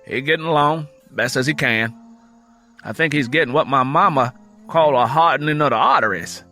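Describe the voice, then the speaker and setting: Guy doing tired older southern country lady for an audiobook, little bit higher voice. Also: normal speed, pushed and bright, soft background music. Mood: concerned, weary. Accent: Southern US, rural. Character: aging, weary Southern mother-in-law, field worker.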